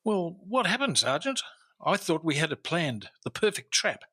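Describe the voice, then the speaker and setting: An actor playing a boastful, famous Victorian-era detective inspector in an old-time radio drama, formal and theatrical in manner. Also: male voice, a little high, sing-song, normal speed, pushed and bright, slightly rough, exaggerated voice. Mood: self-assured, storytelling. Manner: theatrical, self-assured, formal.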